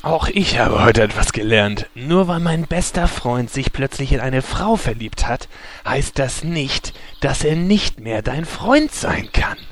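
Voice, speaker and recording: Man acting cheerfully callous adventurer, medium pitch, comedic radio play, faint background noise. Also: lively intonation, measured pace, close to an everyday voice. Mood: earnest. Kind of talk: scripted comedic audio drama.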